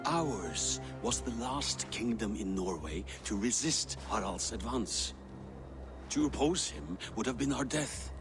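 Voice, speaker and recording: An actor playing an old, deposed Norse king, a grieving and dignified father who speaks gravely and earnestly in a dramatic video game. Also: fairly low pitch. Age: older. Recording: music behind the voice.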